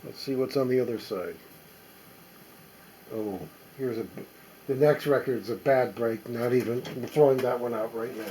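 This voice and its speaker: A man casually talking to himself as he sorts a stack of old records, reading the labels aloud in a halting, musing way. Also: medium pitch, fairly even intonation, dark tone, a little hiss or hum, poor audio. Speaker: record collector. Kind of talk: casual running commentary while sorting records.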